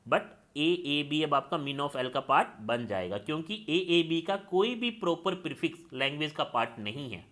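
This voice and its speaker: A man's voice: fairly high pitch, fairly bright tone. A male lecturer teaching a class step by step, asking questions and answering them himself. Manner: calls students "children" and checks they follow; steps through examples, asking and answering his own questions.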